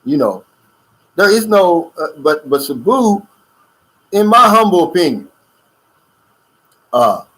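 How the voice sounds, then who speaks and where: Middle-aged male channel host, loose chatty livestream delivery, pitch a touch high. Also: sing-song, slow, long pauses, a bit bright, rough recording. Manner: loose, chatty, drawling with fillers and asides. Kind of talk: casual livestream chat reading viewer comments. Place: lives in the Philippines.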